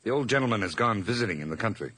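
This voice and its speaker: A man's voice: on the low side, lively intonation, racing, fairly bright tone, slightly rough, no room sound. An actor playing a nervous, over-polite hired man hiding guilt, stammering and effusive in an old radio horror drama. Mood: evasive, polite.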